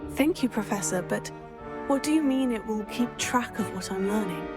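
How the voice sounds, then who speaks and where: A woman's voice: mid-range voice, expressive, moderate pace, over music, close to an everyday voice. A woman playing a polite, confident, inquisitive teenage student witch in a video game. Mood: eager, curious. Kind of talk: scripted video game dialogue.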